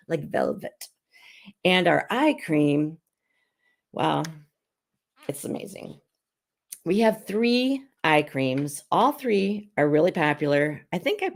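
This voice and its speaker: A middle-aged woman who is hoarse while getting over an illness, chatting casually as she hosts a live skincare demonstration at home. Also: fairly low pitch. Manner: chatty and rambling, with frequent restarts. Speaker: beauty consultant and show host.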